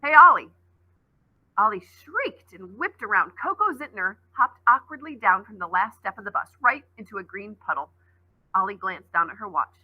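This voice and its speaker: A woman's voice: medium pitch, lively intonation, moderate pace, husky, dry recording, dull audio. A woman teacher reading a novel aloud expressively, adding brief asides.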